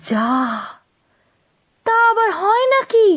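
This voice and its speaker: A gossipy village housewife for a cartoon, extremely high in pitch and swooping up and down. She is naive and curious, stretching out her wide-eyed lines. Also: very animated, takes it slowly, heavily acted. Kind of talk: cartoon voice acting.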